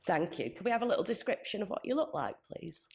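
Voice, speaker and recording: A woman's voice: medium pitch, animated, fast talker, creaky. A woman in her thirties with a cold, chairing a conference panel in a friendly, chatty way, with many ums. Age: 30s. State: has a cold, sniffly. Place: conference venue.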